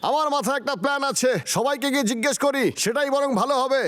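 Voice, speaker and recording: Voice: high voice, steady tone, quick pace. An actor voicing a bossy, determined elder-brother bear in a dubbed cartoon, commanding and scolding. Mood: calm, practical. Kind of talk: cartoon dub acting. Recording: loud music under the voice.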